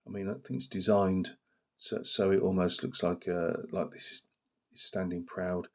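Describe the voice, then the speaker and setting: A man with a very low voice, hoarse from a cold. He talks about his hobby in a loose, rambling way, full of fillers and restarts. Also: steady tone, normal speed, darkish tone. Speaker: miniature painting channel host. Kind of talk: casual hobby talk to camera.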